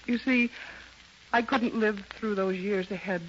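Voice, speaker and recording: A woman playing a convicted, loving and grief-stricken young mother in an earnest, halting 1930s radio drama style. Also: mid-range voice, steady tone, muffled recording, natural voice. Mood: anguished resignation. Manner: earnest, melodramatic, halting with emotion. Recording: bright, noisy, band-limited 1930s radio recording.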